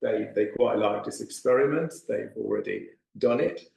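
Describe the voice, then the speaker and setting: Middle-aged male science teacher, gravelly, hesitant delivery, very echoey classroom. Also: fairly low pitch, expressive, normal speed, darkish tone. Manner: hesitant, many ums and uhs. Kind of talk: teacher explaining an experiment. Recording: very echoey room.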